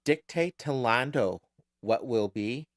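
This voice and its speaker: Low-voiced man in casual podcast chat. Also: takes it slowly, no room sound, studio quality. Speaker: podcast co-host. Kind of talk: casual TV episode recap chat.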